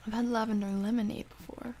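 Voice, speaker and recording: A soft-spoken woman hosting an ASMR livestream, chatting casually and gently, often dropping toward a whisper. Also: a little high, flat delivery, quick pace. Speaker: livestream host. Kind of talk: ASMR livestream, casual chat reading and answering viewer comments.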